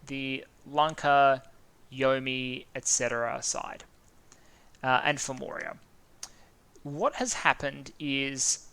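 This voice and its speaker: Man, Australian accent, casual game commentary, thinking aloud, smooth clear voice, keyboard typing behind. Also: mid-range voice, bright, forceful tone. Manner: thinking aloud, stumbling over names. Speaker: gaming video host.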